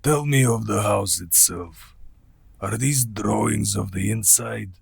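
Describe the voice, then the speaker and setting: An ancient vampire count for a radio play. The voice is low, very husky and unhurried, with courtly, formal menace. It sounds close and dry, with faint music at times. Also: male voice, dry recording, exaggerated voice. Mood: brooding curiosity. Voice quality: gravelly, husky. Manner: slow, formal, deliberate.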